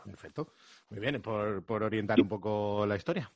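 A man's voice: fairly low pitch, normal speed, a little mellow, no room sound. A man with a Madrid Spanish accent hosting a casual group chat, joking and teasing in colloquial language. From Madrid, Spain. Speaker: host.

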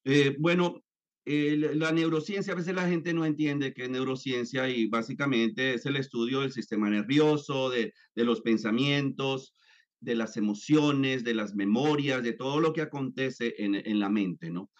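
A man's voice: mid-range voice, unhurried. A man in his fifties from Colombia, giving an interview as a psychologist and talking with many fillers and restarts. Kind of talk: conversational interview. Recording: dull lo-fi sound.

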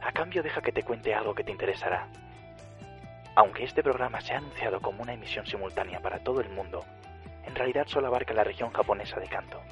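An actor playing a defiant, cool-headed detective in a radio drama, deliberate and challenging, through a broadcast filter. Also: male voice, fairly low pitch, a bit bright. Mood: cool, resolute. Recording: dull lo-fi broadcast, faint music.

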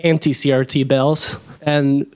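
A man's voice: mid-range voice, little pitch movement, slow, a little mellow, clear, resonant tone. A young man from Venezuela, a student putting a question to a panel from the audience. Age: young adult.

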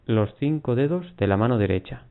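A man guiding a mindfulness body scan, giving unhurried instructions in short phrases with long pauses. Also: medium pitch, lively intonation. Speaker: meditation guide. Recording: muffled, phone-like narrow band.

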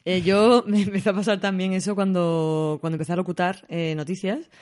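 A woman's voice: on the low side, little pitch movement, brisk, darkish tone. Andalusian-accented woman announcer, candid and easygoing in chat. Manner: candid, laughs easily, hesitant fillers. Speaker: news announcer. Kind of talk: casual discussion.

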